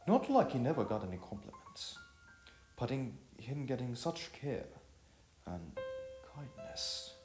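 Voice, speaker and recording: A man's voice: medium pitch, very animated, a little slow. A man reading a scripted story as narrator, often stumbling and repeating phrases as he goes. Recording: roomy echo, clear music bed. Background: music.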